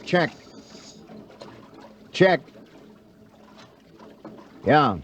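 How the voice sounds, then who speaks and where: Terse, sullen skipper, noisy radio. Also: male voice, on the high side, very animated, extremely slow, fairly bright tone, heavily acted. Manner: clipped short answers. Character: sullen, suspicious, terse male boat skipper. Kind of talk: 1950s radio drama acting. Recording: noisy vintage 1950 radio recording.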